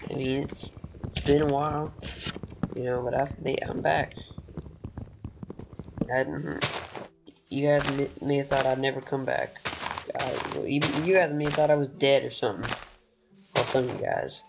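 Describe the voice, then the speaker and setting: A teenage boy with a recently deepened voice, rambling and chatty as he gives casual commentary over his own gameplay. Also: steady tone, moderate pace, gravelly, long pauses, noisy background. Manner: rambling, chatty, laughs often. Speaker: gaming channel host.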